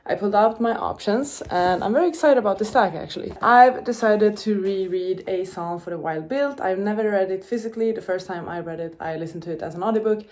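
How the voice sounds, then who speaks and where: A woman's voice: medium pitch, fairly quick, few pauses, a little mellow. A young woman with Czech as her native language, chatting upbeat to the camera about books, with fillers and self-corrections. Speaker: book vlogger. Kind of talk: casual vlog chat to camera.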